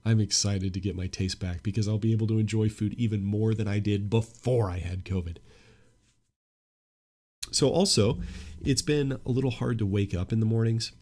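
A man's voice: lowish voice, darkish tone. A young man hosting a solo podcast with a stuffy nose, talking earnestly and growing emphatic. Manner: earnest, opinionated, grows emphatic. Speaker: podcast host.

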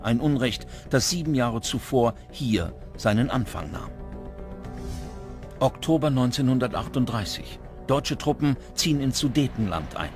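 A man's voice: medium pitch, lively intonation, unhurried. A man reading scripted documentary narration as narrator. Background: music under the voice.

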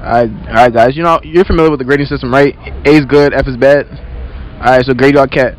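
A man's voice: mid-range voice, fairly even intonation, fast talker, slight background noise, low-quality recording. A nineteen-year-old man hosting a casual street interview, teasing the people he questions with playful follow-ups. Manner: teasing, joking, repeats questions. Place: outdoor amusement park. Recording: outdoor crowd and music behind.

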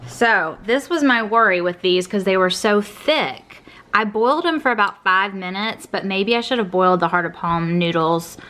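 A woman's voice: mid-range voice, normal speed, pushed and bright. A woman in her thirties chatting through a cook-along in her home kitchen, often calling the viewers y'all. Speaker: cooking vlogger. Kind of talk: casual cook-along narration.

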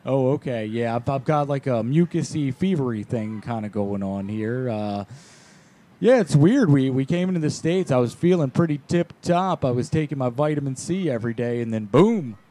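A man's voice: mid-range voice, normal speed, mellow. A man in his thirties improvising a prank call as a chatty, rambling, folksy tourist into a close mic. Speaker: prank call show host. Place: small decorated indoor studio. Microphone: close studio mic on a boom arm.

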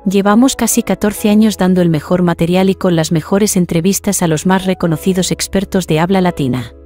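A woman's voice: fairly low pitch, a little fast, warm tone. A woman reading a polished promotional channel intro as a voice-over. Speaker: channel announcer. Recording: voice-over with music behind.

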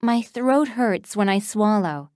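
A woman modelling phrases for learners, reading each one slowly and clearly. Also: mid-range voice, expressive, darkish tone, no echo, studio quality. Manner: clear, deliberate, repeats each phrase evenly. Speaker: native-speaker model reader. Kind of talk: slow, careful phrase reading.

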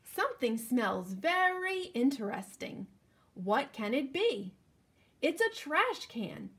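A woman in her thirties giving an expressive picture-book read-aloud, speaking directly to young children. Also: high-pitched, slow pace. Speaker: children's librarian reading at story time. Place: indoors, living room at home.

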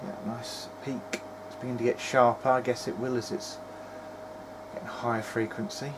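A man's voice: medium pitch, fairly even intonation. Man muttering at a noisy workbench. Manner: muttering to himself in short, halting remarks. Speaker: hobbyist presenter of a video diary. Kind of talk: casual running commentary while testing electronics. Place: small cluttered indoor electronics workbench. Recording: clear background noise in workshop.

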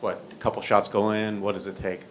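Male reporter with a low voice and probing questions, faint press room hum. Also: flat delivery, fairly quick.

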